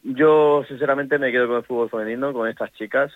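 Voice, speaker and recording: A middle-aged man from Ecuador with an Ecuadorian Spanish accent, a women's football coach speaking in a casual interview, halting and full of restarts. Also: mid-range voice, fairly even intonation, no echo. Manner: halting, many restarts and fillers. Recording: tinny phone line.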